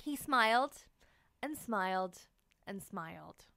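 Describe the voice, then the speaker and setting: A woman reading a children's storybook aloud as host, in expressive scripted narration with dramatic, drawn-out emphasis on key words. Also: mid-range voice, gravelly, dry recording, studio quality.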